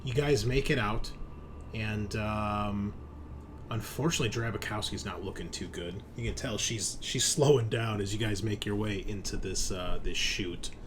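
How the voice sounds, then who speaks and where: A man's voice: fairly low pitch, normal speed, noisy background. Informal game master, man thinking aloud. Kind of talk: explaining tabletop game rules and scenes.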